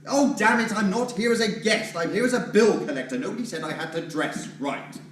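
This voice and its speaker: An actor playing a rude, blustering debt collector who shouts, rants and mocks, in a stage play. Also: male voice, sits high, lively intonation, fairly quick, slight background noise. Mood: indignant. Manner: shouting, sarcastic, ranting. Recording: echoey hall, distant mic.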